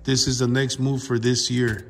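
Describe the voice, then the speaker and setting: A man's voice: mid-range voice, level tone, normal speed, fairly bright tone, faint music behind. A man in his thirties chatting casually and emphatically in slang as a vlog host, repeating himself and calling people bro. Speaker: YouTube car channel host. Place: open-fronted metal garage workshop. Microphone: handheld phone camera nearby.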